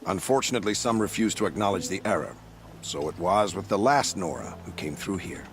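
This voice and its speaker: Slick game military officer, low pitch, very lively intonation, polished formal manner, feigned innocence. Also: male voice, a little hiss or hum. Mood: smooth, evasive. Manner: polished, formal, measured politeness. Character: smooth, self-assured male military prefect feigning innocence. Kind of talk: video game dialogue acting.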